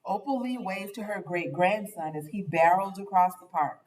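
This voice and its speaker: A woman reading a children's picture book aloud with expressive, dramatic and deliberate phrasing. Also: mid-range voice, far from the mic, rough recording.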